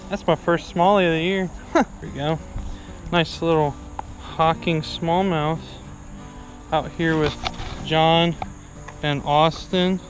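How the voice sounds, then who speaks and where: Young guy fishing outside, kinda high voice, slow laid back joking, music in back. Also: fairly even intonation, poor audio. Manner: laid-back, jokey exaggeration. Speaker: fishing vlogger. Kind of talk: casual chat while fishing. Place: outdoors on a wooded river. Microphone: action camera worn or held by him.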